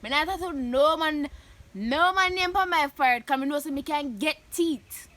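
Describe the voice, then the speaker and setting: A young Jamaican woman hosting her vlog, chatting animatedly and breaking into loud laughs and shouts. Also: high voice, normal speed. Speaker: vlogger host. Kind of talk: casual chat answering questions.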